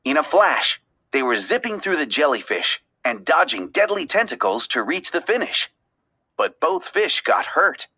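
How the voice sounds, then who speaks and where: Bright, animated woman reading a children's story. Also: deep voice, normal speed. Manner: expressive, dramatic storytelling. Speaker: storybook reader. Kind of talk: scripted read-aloud narration of a children's story.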